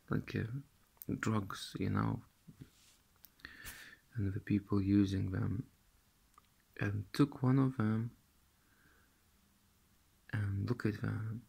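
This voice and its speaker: A man in his thirties from Lithuania, speaking English with a Russian accent, slowly retelling a dream to a close-held phone camera in a small room, halting and repeating words as he searches for them. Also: lowish voice, fairly even intonation, vocal fry, darkish tone, breathy edge. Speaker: vlogger.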